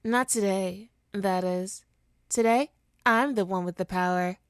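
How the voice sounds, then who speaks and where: Woman acting as a female supervillain, unhurried, silky clear voice, taunting, condescending, hypnotic ASMR roleplay. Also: medium pitch, no room sound, studio quality, natural voice. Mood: gloating, taunting. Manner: slow, taunting, theatrical, condescending. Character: smug, teasing female supervillain with hypnotic powers. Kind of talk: ASMR roleplay monologue to listener.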